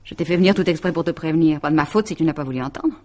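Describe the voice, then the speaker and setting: An actress playing a calculating, possessive middle-aged woman in a radio mystery drama, speaking in a taunting, deliberate, self-satisfied way. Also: deep voice, darkish tone, natural voice. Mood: smugly triumphant.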